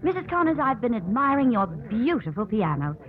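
A woman's voice: on the high side, big pitch swings, a little fast. An actress playing a poised, gracious and flirtatious newlywed lady in a 1950s radio drama, with genteel, polished delivery. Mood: gracious, charming. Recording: tinny 1950s radio recording.

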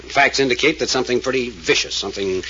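A man's voice: mid-range voice, fast, bright, forceful tone, close to an everyday voice. A man playing a blunt, probing private detective in a 1940s radio drama, questioning insistently. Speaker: hired detective. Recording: dull lo-fi old radio recording with faint hiss.

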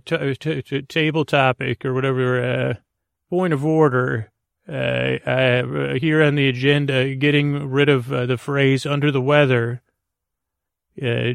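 A man hosting a bedtime podcast in a rambling monologue, gravelly and hesitant, meandering off into tangents. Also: medium pitch, no room sound, clean studio sound. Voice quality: gravelly, creaky. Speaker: podcast host.

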